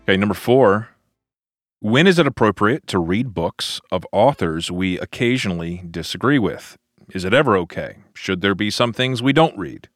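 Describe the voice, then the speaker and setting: Man, low-pitched, podcast host, casual conversational delivery, dry close recording. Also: lively intonation, moderate pace, no room sound.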